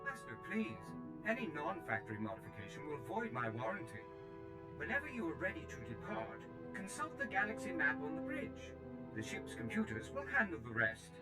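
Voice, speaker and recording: A prim, proud droid steward for a video game. The voice is high and extremely animated, formal and eager to serve, with music playing clearly behind it. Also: male voice, brisk, poor audio. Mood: fussy. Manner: formal, eager, theatrical, precise. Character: fussy, servile, proud male protocol droid steward. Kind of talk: video game character acting.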